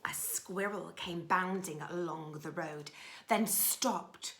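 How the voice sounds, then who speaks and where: Unhurried woman reading a children's story. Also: lowish voice, noisy background. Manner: simple, child-directed phrasing. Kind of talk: expressive storybook narration with asides to young listeners.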